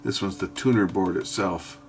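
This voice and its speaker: A man giving casual hands-on repair commentary, thinking aloud and hesitating as he works, with a gravelly voice. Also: sits low, moderate pace. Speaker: host repairing electronics. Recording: background noise with handling clicks.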